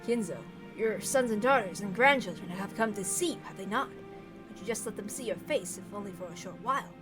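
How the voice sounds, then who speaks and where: A woman voicing a gentle elderly man who coaxes softly and politely in a visual novel reading. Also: medium pitch, a little fast, music in the background, close to an everyday voice. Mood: gentle coaxing. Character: gentle elderly male family friend, mildly admonishing.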